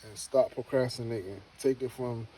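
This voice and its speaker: A man's voice: medium pitch, steady tone, moderate pace, warm tone, slightly rough, rough recording. A man in his early thirties talking casually to camera, rambling and repeating himself, then giving an earnest motivational pep talk. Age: 30s. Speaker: homestead vlogger.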